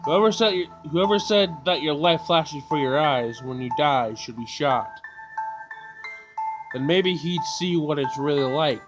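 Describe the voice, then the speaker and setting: A man with a fairly high voice hosts a casual let's play over clearly audible game music. He reads the on-screen text aloud in an informal way, sometimes tripping over the words. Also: unhurried. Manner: informal, stumbles while reading aloud. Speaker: gaming video host.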